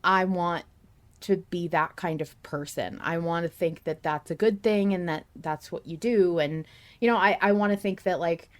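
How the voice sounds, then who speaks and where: A woman hosting a casual podcast discussion, thinking out loud at length with some emphasis. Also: on the low side, normal speed. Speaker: podcast host.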